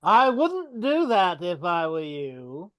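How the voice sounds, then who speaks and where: A man's voice: high voice, huge pitch swings, fairly bright tone, no echo, exaggerated voice. A man playing a gloating goblin king villain. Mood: warning. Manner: slow, gloating and taunting. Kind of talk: live dramatic reading.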